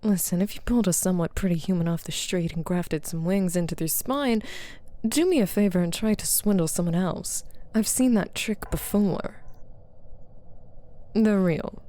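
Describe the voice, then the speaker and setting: A woman's voice: fairly low pitch, moderate pace, dark tone, close to an everyday voice. A woman playing a haughty, shrewd demon buyer in a scripted ASMR roleplay, with a stern, authoritative and condescending manner. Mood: mocking disbelief. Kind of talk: scripted ASMR roleplay, addressing unheard partners.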